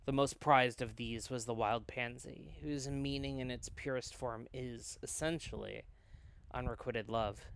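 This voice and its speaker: A man reading a visual novel aloud as a learned, cautious scholar, formal and measured as he explains and narrates. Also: mid-range voice, steady tone, gravelly, fairly bright tone, clear, resonant tone. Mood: reluctant, careful.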